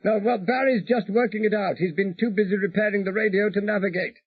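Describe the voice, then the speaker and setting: A man voicing a brisk, cheerful male pilot heard over a faint radio, clipped and breezy. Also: high-pitched, breathy edge, no room sound, phone-quality sound, voice pushed up.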